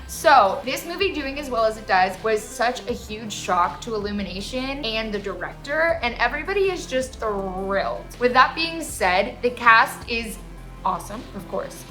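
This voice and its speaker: A woman's voice: on the high side, pushed and bright. A young American woman hosting a casual movie review, gushing and emphatic, with slangy phrasing. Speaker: movie podcast host.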